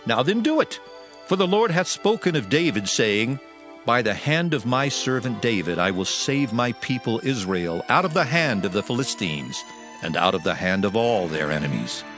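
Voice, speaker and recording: Forceful, proud ancient army commander. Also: male voice, medium pitch, sing-song, moderate pace, soft background music. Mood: urging. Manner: declamatory, archaic diction. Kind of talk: dramatized scripture acting.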